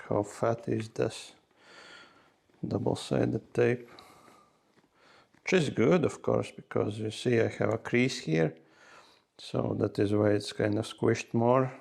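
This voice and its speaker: A man's voice: mid-range voice, vocal fry, darkish tone. A man talking casually through a hands-on tutorial step by step, with non-native English phrasing. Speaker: host of a motorcycle gear how-to video.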